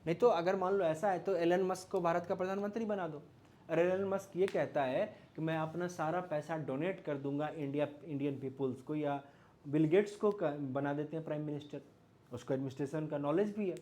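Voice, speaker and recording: A man with an Indian accent teaches in an informal, explanatory way. His voice sits a little high. He poses rhetorical questions and adds small check-in tags as he goes. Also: fairly quick, a little mellow. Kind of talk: informal explanatory lecture mixing Hindi and English.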